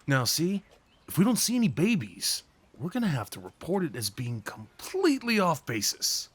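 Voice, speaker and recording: Man playing sweet nervous gentle-giant boyfriend, ASMR roleplay, lively intonation, playful teasing, boyish, softly hesitant. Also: on the high side, normal speed, husky, no room sound, full character voice. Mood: tender, playful. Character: big, sweet, nervous young man on first date. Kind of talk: ASMR roleplay audio drama, one-sided conversation.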